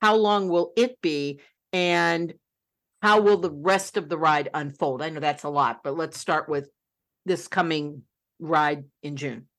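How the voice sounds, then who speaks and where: A woman's voice: low voice, unhurried, a bit bright. A woman hosting an interview, chatty and enthusiastic. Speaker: interview host. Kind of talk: casual interview.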